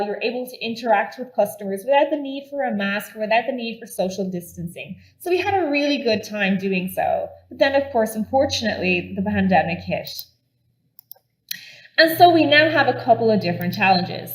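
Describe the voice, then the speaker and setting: A woman's voice: on the high side, lively intonation, a little fast, echoey room, rough recording. An Irish woman giving an upbeat, step-by-step product demo at a conference. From Ireland. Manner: upbeat and enthusiastic, explaining step by step. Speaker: conference presenter.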